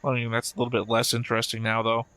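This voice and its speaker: A man's voice: medium pitch, completely flat delivery, fast talker, pushed and bright. A man hosting a reaction show, commenting casually in a dry, offhand, wry way.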